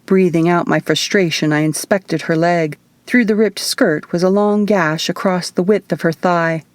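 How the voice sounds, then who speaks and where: Female audiobook narrator, fairly low register, scripted first-person storytelling, dry booth-like sound. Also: fairly even intonation, moderate pace, no room sound. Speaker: author reading own audiobook.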